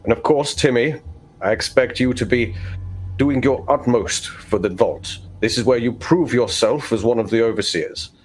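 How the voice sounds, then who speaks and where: A man playing a stern, pompous vault overseer, speaking in formal, measured phrases in a tabletop roleplaying game. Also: mid-range voice, lightly acted, poor audio. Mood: commanding, formal. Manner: formal, measured, stiff-upper-lip.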